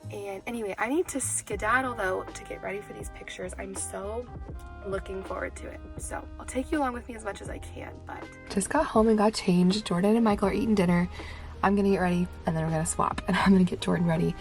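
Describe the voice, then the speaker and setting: A woman's voice: medium pitch, a little mellow. A woman in her twenties vlogging in a casual, candid way, her voice still recovering from being lost. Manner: chatty, candid, lots of "like". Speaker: vlogger and teacher. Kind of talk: casual vlog chat.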